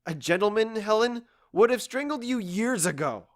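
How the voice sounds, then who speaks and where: A man's voice: sits high, animated, moderate pace. A man playing a stoic, embittered husband in an audio drama, weary and resolute, with grave, formal speech. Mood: bitter, disgusted.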